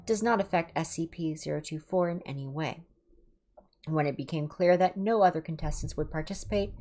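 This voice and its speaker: Female ASMR story reader, low register with lively intonation, measured clinical delivery for a horror livestream. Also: background noise. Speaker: livestream host reading horror stories. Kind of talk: ASMR reading of scripted entries. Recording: music behind the voice. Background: background music.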